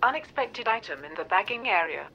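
A woman's voice: mid-range voice, animated, fairly quick, muffled recording, background noise, natural voice. A woman voicing a polite supermarket self-checkout machine giving formulaic prompts. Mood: impersonal.

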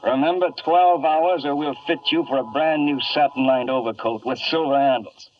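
Guy acting as nasty gangster thug, threatening slangy talk, mid pitch, old radio drama, muffled. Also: normal speed, natural voice. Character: menacing gangster thug giving an ultimatum. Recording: dull, distant 1950s radio recording.

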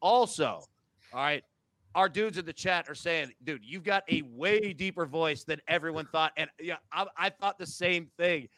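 A man's voice: on the high side, normal speed, pushed and bright, no echo. Upbeat slangy sports-show host man. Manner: upbeat, slangy, calls guests dude and man. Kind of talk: casual sports betting chat.

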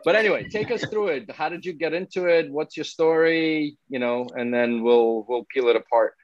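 A man's voice: a little high, moderate pace, no room sound, muffled recording. A man hosting a podcast, chatting informally and unscripted in a bantering way over a video call. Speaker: podcast host. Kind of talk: casual unscripted chat.

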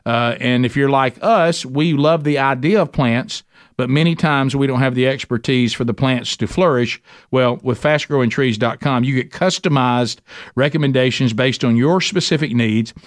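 A man's voice: medium pitch, moderate pace, no echo, clean studio sound. Folksy Southern American man hosting. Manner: folksy, joking, repeats phrases. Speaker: podcast host. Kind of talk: casual podcast chat and ad reads.

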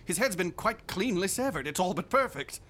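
Radio drama: a chillingly calm mad surgeon, high-pitched and animated, music under. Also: male voice, normal speed, slight background noise. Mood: cold. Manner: clipped, commanding, chillingly calm. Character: cold obsessive male surgeon, reanimator. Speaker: army surgeon. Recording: radio play with music bed.

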